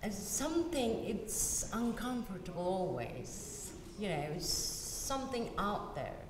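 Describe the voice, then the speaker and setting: A woman's voice: mid-range voice, sing-song, slow. A woman interviewing a guest on stage at a university, asking her questions tentatively as she searches for phrasing. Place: university lecture hall. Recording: echoey hall with room noise.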